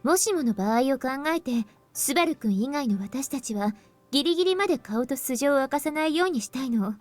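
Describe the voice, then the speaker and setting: A woman's voice: high-pitched, lively intonation, very fast, a little mellow, soft background music. A woman playing a composed young female team leader in a Japanese visual novel, explaining things politely and with purpose. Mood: composed, purposeful.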